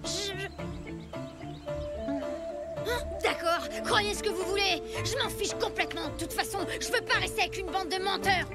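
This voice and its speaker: A woman's voice: very high-pitched, moderate pace. A woman voicing a spirited, indignant young boy in a children's cartoon, earnest and exclamatory. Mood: hurt, defiant. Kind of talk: French children's cartoon dubbing. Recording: loud music behind the voice.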